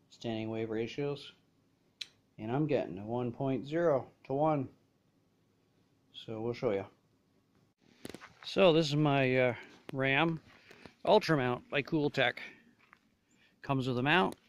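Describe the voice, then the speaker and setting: A man casually demonstrating gear as a reviewer, talking in short, hesitant phrases. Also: mid-range voice. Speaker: gear reviewer showing a radio install.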